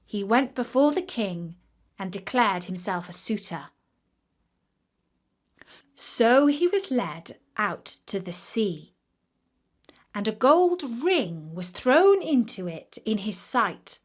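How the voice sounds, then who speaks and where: A woman narrating a scripted fairy tale for children in a careful, expressive manner. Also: mid-range voice, slow, long pauses. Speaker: storyteller.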